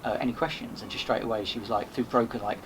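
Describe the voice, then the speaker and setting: A man telling rambling anecdotes in a casual podcast chat, animated and full of restarts. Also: lowish voice, fast talker. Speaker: podcast host and guest. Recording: noisy background.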